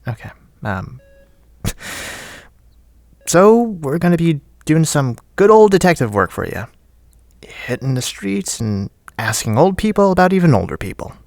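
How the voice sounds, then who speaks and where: An actor playing a friendly, teasing friend with a hesitant, chatty manner in a naturalistic audio drama. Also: male voice, on the high side, big pitch swings, a little slow, creaky, darkish tone, no room sound, full character voice. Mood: warm, joking. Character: friendly, teasing male friend leaving a recorded message.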